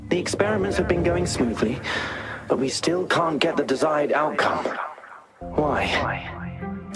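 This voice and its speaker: A man voices a driven researcher in a game cutscene, earnest and bitter about those who cast him out. His voice sits in a middle register, and loud music plays under him. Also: mid-range voice, close to an everyday voice. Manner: earnest, bitter, increasingly desperate. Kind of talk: video game cutscene acting.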